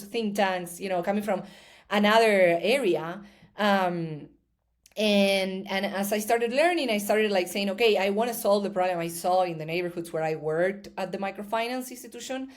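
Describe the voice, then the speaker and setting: A woman, a startup founder answering interview questions thoughtfully, with many fillers and restarts. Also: mid-range voice. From Argentina.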